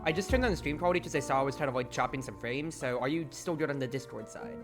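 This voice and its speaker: A woman's voice: very deep voice, fast. A woman hosting a casual game stream, talking in a chatty, rambling, playfully theatrical way. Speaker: streamer and host. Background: game music.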